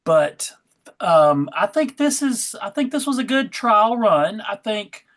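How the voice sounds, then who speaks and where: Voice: mid-range voice, sing-song, slow pace, fairly bright tone. A convention panel member and organizer chatting casually in a group of friends.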